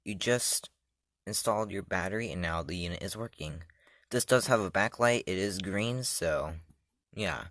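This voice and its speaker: Deep-voiced man giving a casual demo. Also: normal speed, fairly bright tone, no echo. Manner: loose and chatty, repeats himself, trails off with "so, yeah". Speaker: channel host reviewing a gadget. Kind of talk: casual step-by-step product demo.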